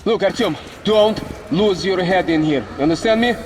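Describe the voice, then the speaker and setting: A male actor plays a gruff Russian-accented wasteland comrade for a video game, pitched high for a man. He is slangy, profane and excitable. The voice rings in a long, hall-like reverb. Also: normal speed, lightly acted. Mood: wistful. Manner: slangy, swearing, urgent. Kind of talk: video game character acting. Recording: echoey hall, music or noise behind.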